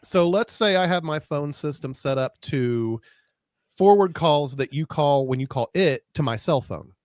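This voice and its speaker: A man's voice: fairly high pitch, expressive, measured pace, dry recording. An American man in a casual tech chat as a co-host, informal and wryly grumbling, with folksy wording. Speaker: podcast co-host.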